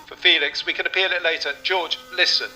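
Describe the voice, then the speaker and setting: An actor playing a calm male race engineer on team radio, giving clipped, businesslike calls. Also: a little high, a little fast, faint music behind.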